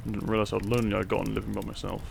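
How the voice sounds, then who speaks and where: Male actor as devoted doctor. Tender, soft-spoken, creaky voice, faint noise behind. Intimate romance audio drama. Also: fairly low pitch, flat delivery, racing. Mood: tender, loving. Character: tender, devoted Victorian doctor, modest and smitten.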